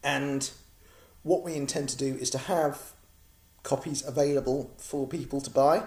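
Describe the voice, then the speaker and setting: A man's voice: mid-range voice, steady tone, moderate pace, a little mellow, reverberant. An English man from Norwich giving a casual, rambling update to backers of his comic project, with many ums and restarts. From Norwich, England.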